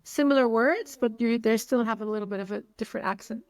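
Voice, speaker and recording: A woman's voice: fairly high pitch, fast, darkish tone, no echo. Frank Palestinian-accented woman in her forties. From Saudi Arabia. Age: 40s. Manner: frank, repeats words when starting a thought. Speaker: guest. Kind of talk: casual interview talk.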